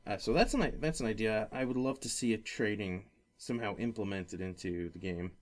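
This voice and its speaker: A man hosting a casual gaming podcast, talking in a rambling, halting way that often circles back on itself. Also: on the low side. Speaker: podcast host.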